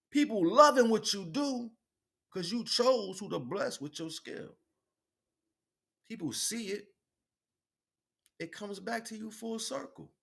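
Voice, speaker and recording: A man's voice: high voice, a bit bright, slightly rough. A man giving an improvised motivational monologue as a livestream host, preaching in colloquial phrasing with emphatic repetition.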